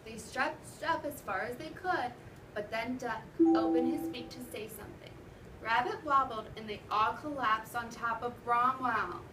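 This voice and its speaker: A woman teacher reads interactively to children in a fairly high voice, often wondering aloud to prompt them. She is recorded from a distance in an echoey classroom. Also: a little slow. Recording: roomy, noisy classroom from far off.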